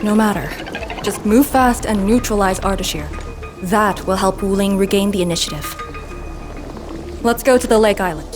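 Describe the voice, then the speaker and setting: A woman's voice: medium pitch, normal speed, pauses often, natural voice. A woman playing a stern, curt, businesslike female fighter in a video game, with music behind her. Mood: resolute. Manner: terse, stern, matter-of-fact. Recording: loud music behind the dialogue.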